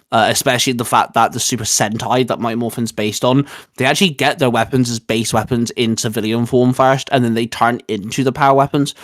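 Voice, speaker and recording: A man's voice: mid-range voice, fairly bright tone, dry recording. Man in his twenties, mid-range voice, dry recording, enthusiastic rambling, casual review chat. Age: 20s. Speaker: co-host of a fan discussion show.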